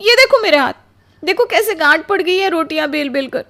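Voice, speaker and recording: Woman voicing a very high-pitched, put-upon young bride. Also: animated, a little fast, sharp tone, exaggerated voice. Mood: weary, plaintive. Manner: plaintive, complaining. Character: exhausted, put-upon young newlywed bride, aggrieved and tearful. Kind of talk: melodramatic cartoon story acting.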